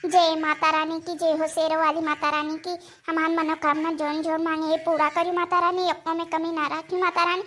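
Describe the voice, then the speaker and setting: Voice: extremely high pitch, completely flat delivery, moderate pace, pushed and bright, a little hiss or hum. A performer voicing a devout, anxious village woman in an Awadhi comedy cartoon, pleading reverently and repetitively with an Awadhi accent.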